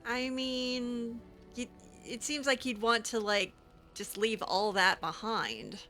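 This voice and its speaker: A woman improvising in-character chat over a video game, casual and halting, with a teasing streak. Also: on the high side, pushed and bright. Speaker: gaming channel host, roleplayer. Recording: music behind the voice. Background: game music.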